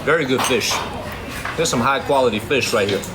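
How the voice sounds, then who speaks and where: Streamer man, mid-pitched, casual slangy eating-stream chatter, heavy outdoor noise behind. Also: normal speed. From China. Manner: chatty, slangy, repeats himself. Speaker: livestream host. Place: outdoor restaurant table.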